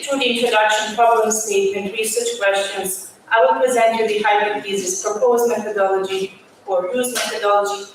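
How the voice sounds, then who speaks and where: A woman with a Bosnian accent and mid-pitched voice presents research formally, as a PhD student would at a conference. The auditorium is very echoey, and she sounds some way from the mic. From Bosnia and Herzegovina. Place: large auditorium. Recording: very echoey hall, distant mic, faint noise.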